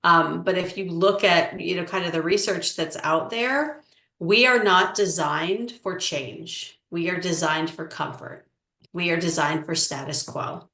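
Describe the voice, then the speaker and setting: A woman coach answering interview questions in a measured, explanatory way with frequent "um"s. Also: lowish voice, bright, forceful tone. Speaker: relationship coach. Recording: echoey room.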